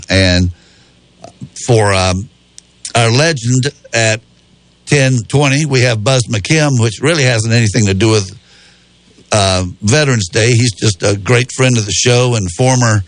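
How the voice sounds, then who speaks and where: A man hosting a casual radio talk show, rambling and folksy, with a slightly raspy voice from a cold. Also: medium pitch, no room sound. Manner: rambling, folksy, self-correcting.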